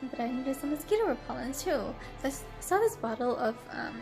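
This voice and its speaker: High-voiced congested young woman vlogging. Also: sing-song, fairly quick, over music. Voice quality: stuffy nasal voice. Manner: rambling, giggly storytelling with many fillers. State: congested from allergies. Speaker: travel vlogger. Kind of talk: casual travel vlog chat. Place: hotel room.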